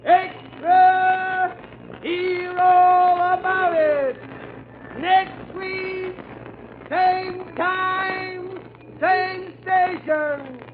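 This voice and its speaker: An actor playing a newsboy hawking extras in a drawn-out, sing-song 1930s radio cry. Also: very high-pitched, level tone, noisy background, heavily acted. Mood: excited, hawking. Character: newsboy hawking extra editions, shrill and urgent. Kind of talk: 1930s radio street-crier announcement. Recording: tinny old radio recording.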